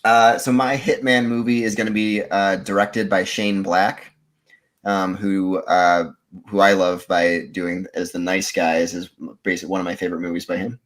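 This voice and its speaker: A man's voice: deep voice, flat delivery, moderate pace, a bit bright. A man chatting casually as a contestant on a podcast. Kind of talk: casual chat.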